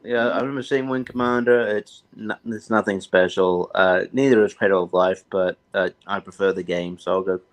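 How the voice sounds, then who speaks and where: A man's voice: low-pitched, fairly even intonation, moderate pace, hardly any pauses. A man casually giving his view as a panelist. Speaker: panelist on a movie show. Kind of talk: casual opinion chat.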